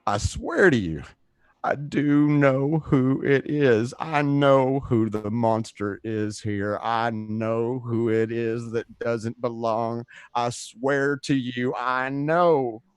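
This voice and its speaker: A man's voice: medium pitch, slow pace, smooth, dry recording, close to an everyday voice. Man performing a desperate accused man, stammering, pleading, radio play, dry recording. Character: frightened, desperate man pleading his innocence to neighbours.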